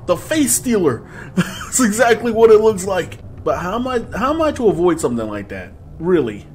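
Animated young man doing game commentary. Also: sits high, normal speed, slight background noise. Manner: animated, excitable, slangy, talks to viewers. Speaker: gaming video host. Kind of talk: casual horror game playthrough commentary. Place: small room at home, indoors.

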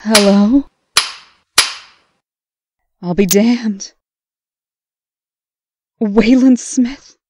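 A woman's voice: mid-range voice, extremely slow, long pauses, noisy background. A woman playing a weary, indignant prisoner in a comic dub, serious and demanding. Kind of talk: amateur comic dub acting.

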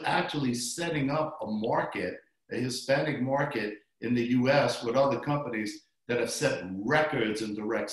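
Man, host, salesman energy, booming, very echoey office, webcam at a distance. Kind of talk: promotional interview. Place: office with framed photos. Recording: very echoey room.

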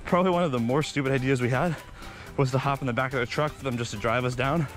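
A young man vlogging outdoors on a beach, telling animated stories while running, slightly out of breath, into a body-mounted camera. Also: mid-range voice, fast, soft background music. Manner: animated, excitable storytelling with sound effects. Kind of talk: casual storytelling while jogging. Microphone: body-mounted action camera.

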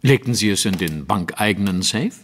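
An actor playing a sceptical detective in an audio drama, putting brisk, inquisitive questions. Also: male voice, fairly low pitch, expressive. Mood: probing. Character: probing, sceptical male detective.

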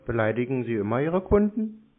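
A man in a phone conversation, answering in a terse, deadpan manner. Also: medium pitch, a little fast, slight background noise. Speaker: prank responder to scam calls. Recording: muffled tinny phone line.

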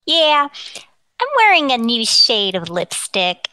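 Female actor for indignant, sharp-tongued barroom gal in vintage radio comedy; animated delivery, bright voice. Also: a little high, slow, a little hiss or hum, exaggerated voice. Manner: haughty, indignant, snappy comebacks. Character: man-hungry, easily offended, sharp-tongued woman at a tavern. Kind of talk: 1940s radio comedy acting.

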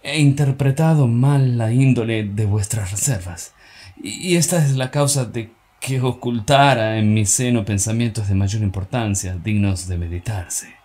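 A man's voice: lowish voice, a little mellow. A man in his forties playing Cassius, a scheming, persuasive Roman senator, in an earnest, insistent theatrical recitation that stumbles now and then. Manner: earnest, insistent, slightly halting declamation. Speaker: actor performing a stage monologue. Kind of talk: theatrical radio-drama recitation.

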